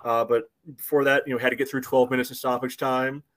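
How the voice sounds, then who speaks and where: American guy hosting a sports pod, mid-pitch, says you know nonstop, keeps things moving. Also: level tone, quick pace, a bit bright. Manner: says "you know" constantly, steers the talk. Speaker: podcast host. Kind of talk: casual sports chat.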